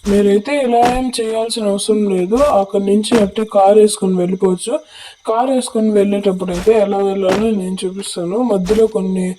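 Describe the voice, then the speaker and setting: A woman giving instructional, repetitive game tutorial commentary through a voice changer. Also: mid-range voice. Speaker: gaming video creator. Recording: clearly noisy home recording with clicks.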